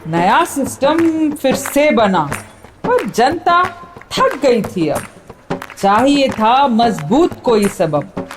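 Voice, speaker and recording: A woman's voice: on the high side, big pitch swings, extremely slow, over music, full character voice. A woman performing as a dramatic, declamatory storyteller of the French Revolution in a rhyming radio play. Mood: dramatic, solemn.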